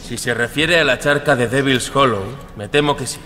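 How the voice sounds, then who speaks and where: An actor playing an earnest, deferential young nephew accused of a crime in an audio drama. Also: male voice, mid-range voice, normal speed, lightly acted. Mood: subdued, respectful. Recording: loud music behind the voice.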